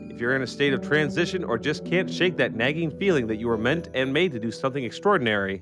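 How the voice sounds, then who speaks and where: A man reading a scripted motivational radio advertisement as an announcer. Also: mid-range voice. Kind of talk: scripted motivational promo read. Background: music bed.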